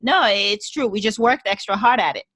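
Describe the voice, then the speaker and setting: This is a Haitian-accented woman talking as a podcast guest in an animated, repeating style. Her pitch sits in the middle for a woman. The sound is dry and close, with no room echo. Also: normal speed. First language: French and Haitian Creole. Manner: animated, repeats words while thinking. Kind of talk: casual interview conversation.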